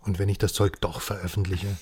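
Reporter part in a radio play: male actor as cheeky, eager, streetwise, fairly low voice, faint noise behind. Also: flat delivery, fairly quick, a little mellow, close to an everyday voice. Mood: teasing, probing. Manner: colloquial, teasing. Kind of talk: German radio crime drama, casual dialogue.

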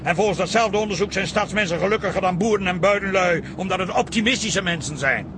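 Actor as high-voiced grumbling man, ranting. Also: fast, background noise, natural voice. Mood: stubborn, scornful. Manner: ranting, sarcastic. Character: resentful grumbling man who scorns country life and loves the city. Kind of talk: radio drama acting.

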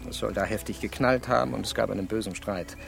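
An actor playing a self-assured, status-conscious industrial executive in a radio play, brisk and formal with a haughty edge. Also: male voice, on the low side, creaky. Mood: self-assured, defensive.

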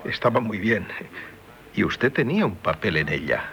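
An actor with a Castilian accent playing a courteous, sociable aristocratic prince in a 1970s radio play. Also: male voice, lowish voice, sing-song, normal speed, gravelly, pushed and bright, dull audio, heavily acted. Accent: Castilian Spanish from Spain. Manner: polite, formal, genteel. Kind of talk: 1970s radio theatre acting.

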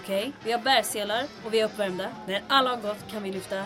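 A woman's voice: medium pitch, lightly acted. An actress playing a plucky, wry female resistance agent in a comedic wartime audio drama. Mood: businesslike. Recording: music and background sound effects behind voice.

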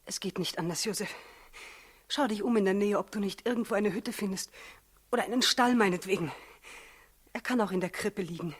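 An actress playing a pregnant young wife, anxious yet resolute, in a 1960s German radio play, with a breathy, husky voice. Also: female voice, mid-range voice, a little fast, long pauses, close to an everyday voice. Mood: resolute, urging.

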